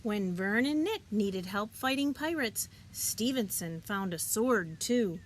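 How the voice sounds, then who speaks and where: A woman reading a children's picture book aloud, expressively and deliberately, as a teacher would for young listeners. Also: mid-range voice, expressive, slight background noise. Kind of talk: children's storybook read-aloud.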